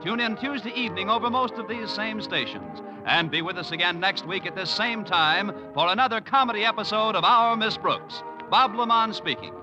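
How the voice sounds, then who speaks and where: A man's voice: sits high, animated, moderate pace, few pauses, music in the background, rough recording. A man announcing and reading commercials for a 1950s network radio comedy in a projecting, salesy manner.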